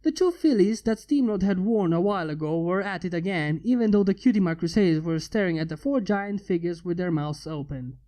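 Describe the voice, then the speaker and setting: Mellow-voiced man reading a story. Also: high-pitched, lively intonation, brisk, hardly any pauses. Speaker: fan fiction reader. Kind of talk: scripted story narration.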